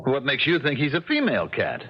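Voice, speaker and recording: A man's voice: medium pitch, wildly swooping pitch, brisk, noisy background. An actor playing a grumbling, skeptical married man in a 1970s radio drama, speaking in a wry, colloquial way. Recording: muffled 1970s radio broadcast with music.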